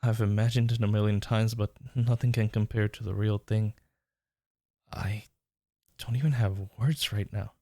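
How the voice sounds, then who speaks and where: A man's voice: lowish voice, steady tone, moderate pace, vocal fry, warm tone, no room sound, studio quality. A man playing a smitten, teasing workplace rival turned lover in an intimate romantic audio roleplay, murmuring tenderly and breathily. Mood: tender, amused.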